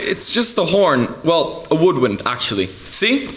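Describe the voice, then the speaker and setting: A man's voice: fairly high pitch, very animated, a little slow, noisy background. A man playing a naive, flippant young musician in a comic radio play, wry and offhand. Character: young jazz clarinettist, naive, flippant, unimpressed outsider.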